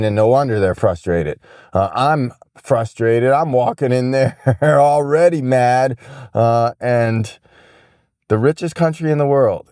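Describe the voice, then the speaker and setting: A man's voice: medium pitch, mellow, no echo, studio quality. An American man hosting an opinion podcast, ranting casually in an exasperated, emphatic way and repeating phrases for effect.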